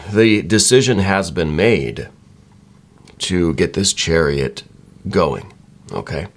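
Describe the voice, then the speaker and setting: A man giving a soft-spoken tarot reading in a musing way, with a slightly croaky voice. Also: sits low, lively intonation, slow. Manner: musing, repeats "right?" often. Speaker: tarot reader.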